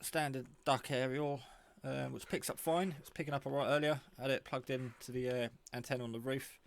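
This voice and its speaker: A man's voice: mid-range voice, steady tone, a little fast, creaky, a little hiss or hum. A man giving a casual, rambling, informal show-and-tell of a piece of hobby gear. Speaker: radio hobbyist showing a handset.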